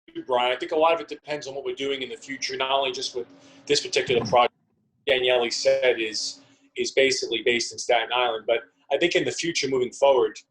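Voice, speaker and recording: A man's voice: mid-range voice, steady tone, fast talker, fairly bright tone, slight background noise, rough recording. A man from a theater company giving conversational answers as an interview guest.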